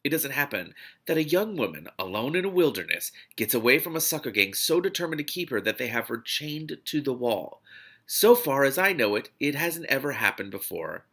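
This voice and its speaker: Blunt male field agent for an audiobook, read by a man: bright forceful edge, creaky, slangy and emphatic recruiter. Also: medium pitch, big pitch swings, fairly quick. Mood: incredulous, emphatic.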